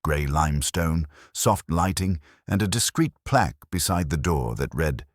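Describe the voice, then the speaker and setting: Very deep-voiced man narrating detective fiction. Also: animated, unhurried, no echo. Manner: dry, wry. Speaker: audiobook narrator. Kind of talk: scripted first-person detective story narration.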